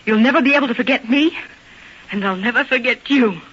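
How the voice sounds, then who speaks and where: A woman's voice: on the high side, a little fast, fairly bright tone, husky. An actress playing a proud, scorned society woman in an old-time radio drama, melodramatic and imperious. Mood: vengeful, dramatic. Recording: dull, noisy old radio recording.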